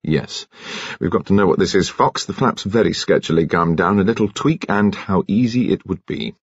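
A man playing a courteous English police detective in an audiobook, calm, probing and gently authoritative. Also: sits low, slightly rough. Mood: brisk. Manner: polite, measured, gently authoritative. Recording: muffled, echoey room.

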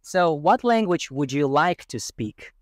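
A man's voice: a little high, lively intonation, measured pace, no room sound, studio quality. A man conducting a street interview outdoors in a city park, asking short questions and affirming answers with frequent "mm-hmm". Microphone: handheld mic held out to guests.

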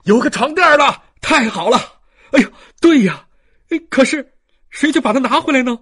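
Male actor for commanding male revolutionary fighter in an audiobook, high-pitched, animated. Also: moderate pace, husky, full character voice. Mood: excited. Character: urgent, commanding revolutionary men at a barricade. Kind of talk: dramatised audiobook dialogue.

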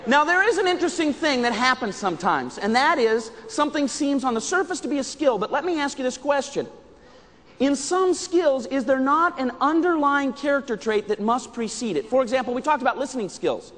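A man's voice: very high-pitched, fairly bright tone. A middle-aged American man giving an interactive keynote speech, projecting emphatically to his audience. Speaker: motivational keynote speaker. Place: stage in a large indoor conference hall. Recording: reverberant large hall.

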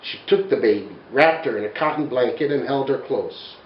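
A man's voice: mid-range voice, moderate pace, echoey room, highs cut off. A man in his forties reading a children's picture book aloud in a bookshelf-lined study, telling it expressively. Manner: expressive, animated storytelling. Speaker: storyteller.

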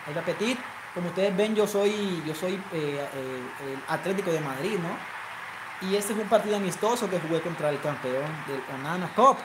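A young man from Colombia's Caribbean coast with a coastal Colombian accent, casually chatting with viewers and calling a football video game match, his voice climbing when he shouts goal calls. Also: high voice, moderate pace, background noise. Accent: Colombian Caribbean coastal Spanish. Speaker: gaming streamer. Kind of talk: live football game commentary and chat.

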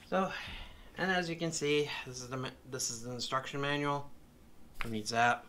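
A man talks through an unboxing from his home office in a rambling, easygoing way, often stopping to correct himself. His voice is bright and forward, with a faint hiss behind it from a camera mic. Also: medium pitch. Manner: rambling, self-correcting, chatty. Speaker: motorcycle vlogger. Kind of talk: casual product unboxing vlog.

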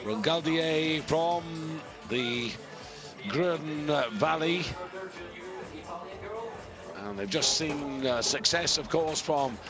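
Unhurried male ski jumping commentator. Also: on the high side, music in the background. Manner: measured, informative, frequent pauses and fillers. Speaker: sports commentator.

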